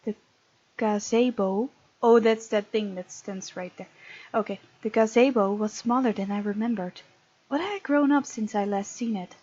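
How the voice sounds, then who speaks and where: A woman's voice: medium pitch, moderate pace, a little mellow, dry recording, highs cut off. A woman hosting a gaming let's play, reading aloud and adding chatty, casual asides. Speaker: gaming video host.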